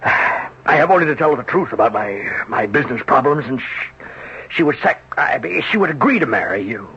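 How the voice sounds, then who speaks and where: A desperate middle-aged financier, his husky voice swinging high and low as he pleads and pushes. It comes through a muffled old broadcast. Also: sing-song, brisk, sharp tone, exaggerated voice. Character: desperate older male financier, overextended and pleading. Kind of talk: 1970s radio drama acting. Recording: dull lo-fi vintage radio broadcast.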